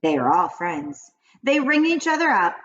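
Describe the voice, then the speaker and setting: A woman voicing an old grandmother in a children's storybook, confiding grave witch lore in a deliberate, dramatic way. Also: mid-range voice, sing-song, fairly bright tone, muffled recording, slight background noise. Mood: gravely confiding. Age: older. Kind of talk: children's storybook read-aloud.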